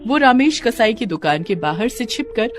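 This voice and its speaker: Expressive woman narrating a cartoon story. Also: fairly high pitch, normal speed. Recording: background music under voice.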